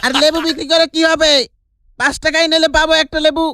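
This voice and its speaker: A man's voice: very high voice, little pitch movement, quick pace, pushed and bright, no echo, studio quality. A man voicing a naive, flustered working-class husband in a comic cartoon, with a Bangladeshi accent.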